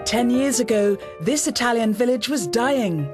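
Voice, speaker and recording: A woman narrating a news report in a fairly high voice. She reads steadily over a loud music bed. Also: animated, a little slow.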